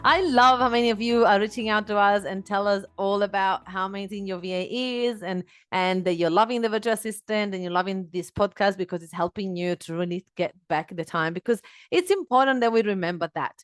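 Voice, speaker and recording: A woman in her thirties hosting a solo podcast on a headset mic in a small home office. She speaks English as a second language, talking in an earnest, animated way with many fillers and restarts. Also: mid-range voice, fairly quick, clear, resonant tone, dry recording. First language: not English. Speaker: podcast host.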